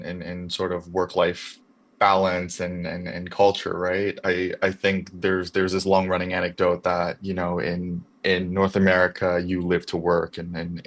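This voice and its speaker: A man's voice: deep voice, a bit bright. A young adult man from Canada, a podcast guest talking about his move abroad in a thoughtful, restarting way. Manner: reflective, with frequent restarts. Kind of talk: casual conversation.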